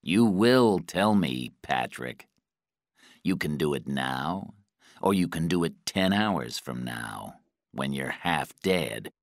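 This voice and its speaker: A menacing male interrogator, performed by a male narrator, speaking very low and slowly with calm, measured threat. The audiobook dialogue is cold and dry. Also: no echo, heavily acted. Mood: quiet menace.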